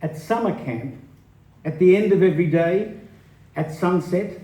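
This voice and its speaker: A man reads a memoir aloud on stage. His voice is soft-edged and a little high, and he speaks slowly with room echo around him. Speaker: stage reader. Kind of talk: dramatic reading of memoir. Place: live stage reading indoors. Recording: roomy, echoing stage sound.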